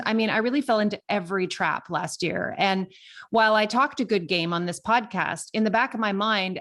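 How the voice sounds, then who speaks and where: A woman's voice: medium pitch, a bit bright. A woman of fifty talking candidly and conversationally in a reflective solo podcast, with emphasis on key words. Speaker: podcast host.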